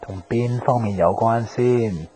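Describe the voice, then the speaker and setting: An actor playing a blunt, skeptical young friend in a Hong Kong Cantonese radio drama, teasing and questioning colloquially. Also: male voice, mid-range voice, takes it slowly, warm tone, a little hiss or hum, close to an everyday voice. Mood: concerned. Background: faint background music.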